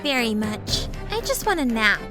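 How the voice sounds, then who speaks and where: A woman's voice: a little high, big pitch swings, normal speed, music in the background. A woman voicing a timid, anxious, sweet teenage girl in an anime-style audio drama, with a hesitant, childlike manner. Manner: hesitant, soft-hearted, childlike.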